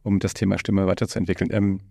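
A man with a low, creaky voice hosts a casual podcast conversation. He circles around his thoughts in rambling phrases. The sound is close and dry. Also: little pitch movement, quick pace, a little mellow, no room sound. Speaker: podcast host interviewing a guest.

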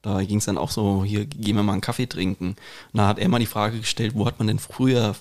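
A man's voice: fairly low pitch, flat delivery, quick pace, a little mellow. A man chatting casually as a podcast co-host, explaining things in an anecdotal way. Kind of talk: casual chat.

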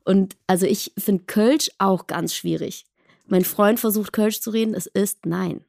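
Lively woman guest. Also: medium pitch, a little slow, gravelly, dry recording, clean studio sound. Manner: lively, direct, laughs often. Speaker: guest actress. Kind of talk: casual chat.

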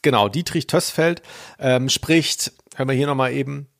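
Man, average pitch, podcast host, informal conversational talk. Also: fairly even intonation, moderate pace, vocal fry, a bit bright. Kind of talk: casual chat about audio dramas.

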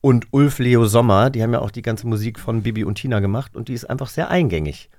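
A man's voice: medium pitch, animated, fast talker, darkish tone, no room sound, studio quality. A man hosting a podcast, interviewing in an enthusiastic, chatty way. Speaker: podcast host. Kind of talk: casual interview.

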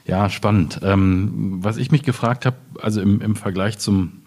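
A man in a reflective literary discussion, speaking hesitantly and deliberately as he searches for his words. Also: fairly low pitch, darkish tone. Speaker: author in panel discussion.

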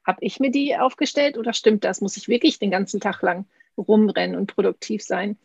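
A woman's voice: medium pitch, brisk, creaky, darkish tone, slightly rough, no room sound. Woman from Münsterland, podcast co-host, reflective storytelling, frequent fillers, dry dull recording. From Münsterland, Germany. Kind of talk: casual conversational interview.

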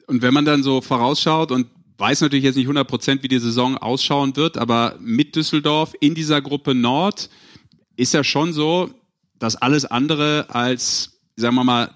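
Mid-pitched man chatting as podcast co-host. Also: a bit bright. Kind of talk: casual sports podcast chat.

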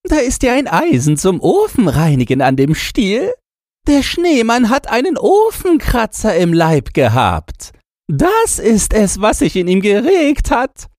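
A man voicing a hoarse, barking, grumbling and knowing chained yard dog from a storybook. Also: sits high, wildly swooping pitch, a little slow, darkish tone, no room sound, clean studio sound, pitched above the performer's own voice, full character voice. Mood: sudden understanding. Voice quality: hoarse, yapping. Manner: barking exclamations. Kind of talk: storybook character voice.

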